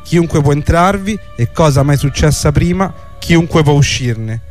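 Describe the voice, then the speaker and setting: A man's voice: on the high side, lively intonation, normal speed. A man delivering scripted historical narration in a radio documentary. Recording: clear music bed under the voice. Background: music.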